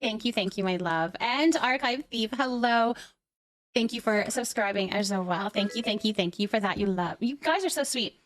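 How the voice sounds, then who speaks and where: High-voiced woman livestreamer, effusive and affectionate. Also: animated, normal speed, soft background music. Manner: repeats words and short phrases. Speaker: live streamer hosting a chat. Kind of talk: casual livestream chat, thanking viewers.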